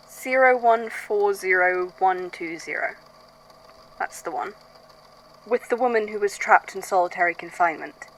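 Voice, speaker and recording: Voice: mid-range voice, a bit bright, rough recording. A performer playing a weary, haunted archivist, speaking in a hesitant, introspective, conversational way in an audio drama monologue. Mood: musing, distracted. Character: weary, haunted archivist recording statements of uncanny events. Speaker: voice actor in a fan fiction audio drama.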